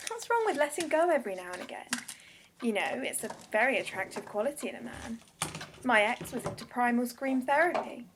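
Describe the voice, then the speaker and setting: A woman's voice: a little high, big pitch swings, fairly quick, noisy background. An actress playing a teasing, emotionally bruised single woman in a naturalistic audio drama, playful and candid, with a probing way of talking. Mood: teasing, provocative.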